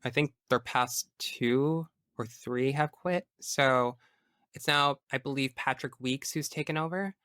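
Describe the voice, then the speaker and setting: Guy on a podcast giving blunt opinions, swears a lot, bright clear voice, casual. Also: medium pitch, measured pace, dry recording, studio quality. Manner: opinionated, emphatic, swears freely. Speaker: podcast guest. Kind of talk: casual chat about video games.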